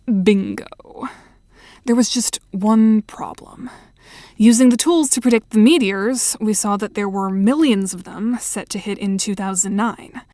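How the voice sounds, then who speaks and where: A blunt, world-weary young woman, as a reader puts her on for an audiobook tale, sounding matter-of-fact and a little cynical. Her voice sits at a middle pitch and comes across dry and close, with no room around it. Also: unhurried, warm tone, no room sound, close to an everyday voice. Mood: explaining. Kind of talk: audiobook character reading.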